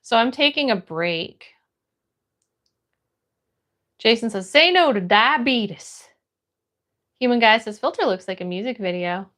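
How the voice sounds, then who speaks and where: A woman with a notably high voice and an American accent hosts a casual livestream. She talks in a friendly, encouraging way as she chats to viewers and reads their comments. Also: expressive, a little slow, pauses often, fairly bright tone. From United States. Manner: friendly, encouraging, greets people by name. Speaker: livestream host. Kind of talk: casual livestream chat, greeting viewers and reading comments aloud.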